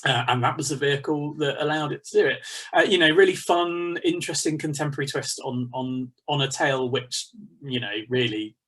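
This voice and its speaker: A man's voice: on the high side, fairly quick, fairly bright tone. A middle-aged British man leading a writing workshop over a video call, chatty and discursive as he thinks aloud. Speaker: pantomime writer leading a workshop. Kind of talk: informal workshop talk. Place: small home study, indoors. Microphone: computer mic on a video call.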